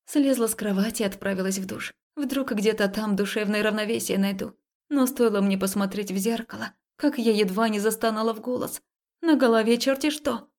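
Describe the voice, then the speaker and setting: A woman narrating a first-person romance story as an audiobook, expressive and confessional, acting out the dialogue. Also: mid-range voice.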